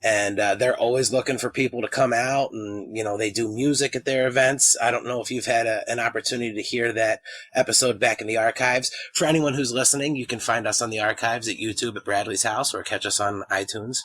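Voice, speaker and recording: A man's voice: medium pitch, fairly even intonation, brisk, fairly bright tone. A man in his thirties co-hosting a podcast, asking guests questions in a loose, joking way. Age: 30s. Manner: jokey, rambling with fillers. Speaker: podcast co-host. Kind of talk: casual interview chat.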